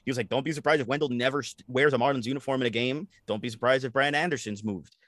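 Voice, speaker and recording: A man hosting a casual sports podcast, talking in a rambling, animated way and often repeating his words. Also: medium pitch, quick pace, no echo, clean studio sound. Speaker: podcast host.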